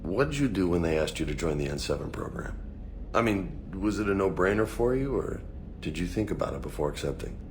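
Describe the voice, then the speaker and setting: An actor playing a casual, easygoing but burdened soldier in a video game, with informal, slangy speech. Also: male voice, deep voice, animated, fairly quick, a little mellow, a little hiss or hum. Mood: troubled, reflective. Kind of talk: conversational video game acting.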